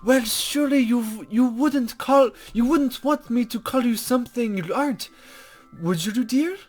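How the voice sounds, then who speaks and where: A man voicing a cryptic, serene female guide to the afterlife from a visual novel, measured, formal and gently condescending. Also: very high-pitched, faint music behind, voice pushed up. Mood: teasing. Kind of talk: character reading from a visual novel.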